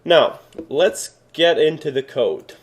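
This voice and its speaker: A man's voice: on the high side, takes it slowly. A man from Serbia giving a casual coding tutorial, rambling with many fillers and self-corrections. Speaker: programming tutor.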